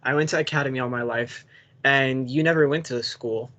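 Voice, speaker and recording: A man's voice: mid-range voice, steady tone, a little fast, pushed and bright, muffled recording. A young man co-hosting a casual podcast over a voice call, bantering in a teasing way. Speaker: podcast co-host.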